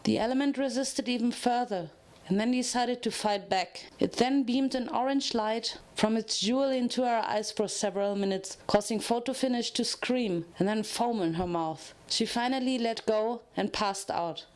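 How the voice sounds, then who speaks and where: A woman's voice: on the high side, normal speed, darkish tone. A woman narrating a scripted story as a dramatic reading.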